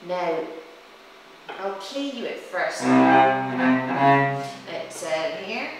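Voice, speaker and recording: A woman's voice: on the low side, lively intonation, extremely slow. A woman giving a reflective, explanatory talk on music, like a storytelling lecture from a presenter who also demonstrates. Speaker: presenter, cellist and music teacher. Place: reverberant indoor room. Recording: very echoey room, distant mic, faint noise.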